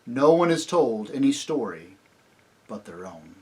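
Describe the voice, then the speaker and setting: A man voicing a great lion, a grave, commanding yet tender divine king in a storybook reading, speaking deliberately. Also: medium pitch, very animated, warm tone. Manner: slow, grave, deliberate, authoritative.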